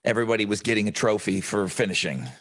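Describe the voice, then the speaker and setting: A man's voice: lowish voice, fast, fairly bright tone. A man, a radio host co-hosting a podcast, chatting casually and telling self-mocking brag stories. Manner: easygoing, self-deprecating, boastful storyteller. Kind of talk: casual chat.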